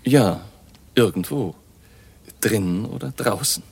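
Casting: an eerie scholarly baron, gravelly and low-pitched, measured and grave, radio play. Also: male voice, expressive, a little mellow, heavily acted. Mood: grave, narrating. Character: baron, eerie learned vampire researcher, grave and deliberate. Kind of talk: radio drama acting.

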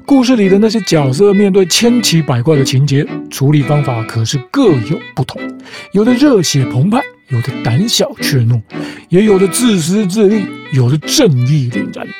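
Host, male, even-toned scripted narration, music bed underneath. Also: fairly high pitch, normal speed. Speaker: podcast host. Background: background music.

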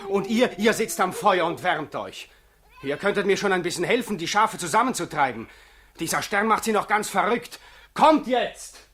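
An actor playing an exasperated, level-headed shepherd in a 1960s radio drama, urgent and commanding, on an old recording. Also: male voice, sits high, lively intonation, moderate pace, fairly bright tone, breathy edge, heavily acted. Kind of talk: 1960s German radio play acting.

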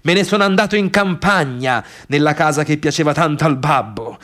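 An actor playing a middle-aged failed pianist, bitter and obsessive, in a scornful, sarcastic theatrical monologue about the sister who left him. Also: male voice, fairly high pitch, fairly quick, a bit bright, lightly acted. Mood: wounded, bitter. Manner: scornful, sarcastic, brooding, swinging between muttering and outbursts.

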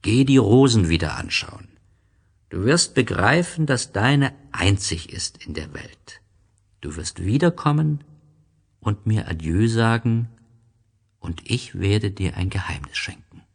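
Man voicing a wistful, husky storybook fox. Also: on the low side, sing-song, measured pace, voice pushed up, exaggerated voice. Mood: sad, tender. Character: gentle, wistful male fox, patient and wise.

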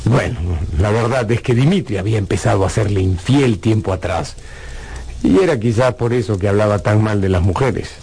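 A man's voice: fairly low pitch, moderate pace, darkish tone, breathy edge. An actor playing a gossipy friend who teases and coaxes as he tells his pal's story in a radio drama. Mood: gossipy, amused. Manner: teasing, coaxing, chatty. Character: teasing male friend, gossipy storyteller egging his pal on.

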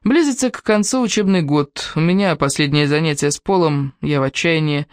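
A woman's voice: low-pitched, a little fast, dry recording. Woman narrating an audiobook, dry sound. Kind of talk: scripted first-person novel narration.

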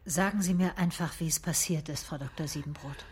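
An actress playing a cool, reflective private detective who tells her case in a radio crime drama, measured and wry. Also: sits low, natural voice. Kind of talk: radio crime drama, first-person narration.

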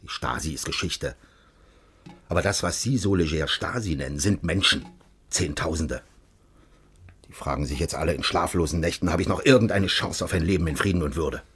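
An actor playing a secretive former secret police official in a radio crime drama, formal and measured, persuasive with quiet menace. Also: male voice, low-pitched, full character voice. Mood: persuasive, menacing. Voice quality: husky.

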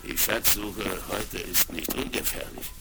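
An actor playing a cunning, scheming pirate leader who talks slyly and barks gruff orders, on a crackly vinyl record. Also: male voice, sits low, measured pace. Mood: confiding. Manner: sly and wheedling, bursting into gruff commands. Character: cunning scheming male pirate cook, one-legged leader. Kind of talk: 1970s radio play acting. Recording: crackly vinyl record with loud surface noise.

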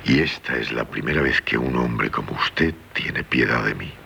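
Radio drama ruined husband, Spain accent: very low, gravelly, halting, self-loathing. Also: male voice, sharp tone, full character voice. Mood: weary, bitter. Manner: halting, repeats words, dramatic pauses. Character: despairing, dissolute husband, self-loathing and tender. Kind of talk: 1970s radio drama acting. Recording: noisy 1970s radio broadcast recording.

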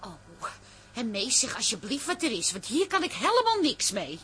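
A woman's voice: fairly high pitch, huge pitch swings, moderate pace, heavy background noise. An actress playing a conciliatory, evasive grown woman, a daughter and wife, hesitant and placating in a radio comedy drama. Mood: pleading.

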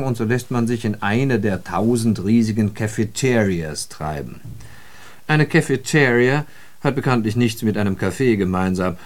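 An actor playing a wry, puzzled observer of city life in a literary radio monologue, speaking articulately with irony. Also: male voice, fairly low pitch, a little mellow, close to an everyday voice. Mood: wry, ironic. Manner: ironic, articulate, deliberate phrasing.

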